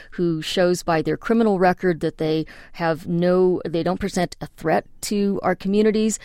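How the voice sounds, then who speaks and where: Low-voiced woman lawyer explaining. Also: darkish tone, dry recording, clean studio sound. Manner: explanatory, practical, speaks in examples. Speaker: immigration attorney. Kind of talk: interview answers.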